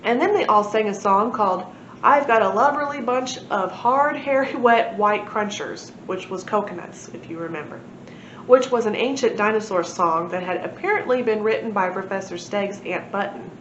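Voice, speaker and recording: A woman's voice: normal speed, fairly bright tone. A woman reads a children's book aloud in a chatty, informal way. Her mid-range voice sits in an echoey room, slightly muffled, with background noise. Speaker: library read-aloud host. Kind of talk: children's book read-aloud with casual asides. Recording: echoey room, muffled, noisy with low rumble.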